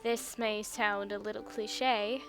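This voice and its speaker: A woman's voice: medium pitch, steady tone, unhurried, over music, lightly acted. A woman playing a kind teenage magical girl with an earnest, reassuring manner, in a fan-dubbed drama. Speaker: voice actor in a fan dub.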